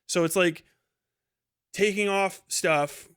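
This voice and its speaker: A man with a voice pitched a little higher than most men's talks off the cuff as a live streamer. His delivery is animated and enthusiastic. He rambles casually and swears freely as he goes. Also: takes it slowly, clear, resonant tone. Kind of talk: casual, rambling livestream chat.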